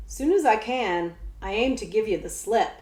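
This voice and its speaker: A woman voicing a blunt, street-wise poor boy with rough old-fashioned speech in a children's story. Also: mid-range voice, animated, moderate pace, a little mellow, reverberant, natural voice. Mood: blunt, defiant. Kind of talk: children's book read-aloud.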